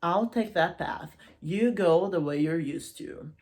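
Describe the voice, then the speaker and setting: A woman voicing a smooth-talking male stranger from a fairy tale, casual and coaxing. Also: fairly low pitch, takes it slowly, darkish tone.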